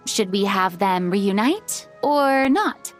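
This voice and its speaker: A woman voicing a polite, composed woman on a film crew in a video game, speaking in a courteous, measured way. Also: mid-range voice, lively intonation, faint music behind.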